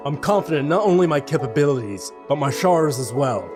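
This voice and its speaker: A man's voice: a little high, animated, a little fast, close to an everyday voice. A man playing a proud, guarded demigod king who speaks in a haughty, formal way in an audio drama. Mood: defensive. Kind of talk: audio drama character acting. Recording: music under the voice.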